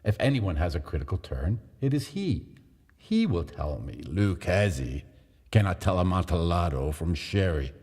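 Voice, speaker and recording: Deep-voiced man reading a gothic tale. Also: sing-song, normal speed, darkish tone, slightly rough, in a large hall. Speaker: narrator.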